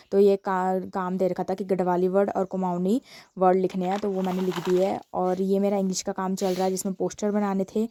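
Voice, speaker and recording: A woman's voice: mid-range voice, dark tone, a little hiss or hum. A young woman narrating a casual village vlog, explaining things to viewers and repeating herself. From Uttarakhand, India. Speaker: village vlogger.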